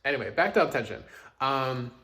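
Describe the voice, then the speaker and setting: A man's voice: medium pitch, expressive, a little fast, bright, forceful tone. A man casually chatting through his music reviews, chatty and self-deprecating, with joking asides. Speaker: K-pop reaction host.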